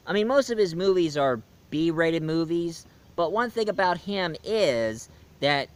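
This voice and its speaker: A man hosting a casual movie review show, rambling with lots of fillers and restarts and swinging between loud bursts and quiet asides. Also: fairly high pitch.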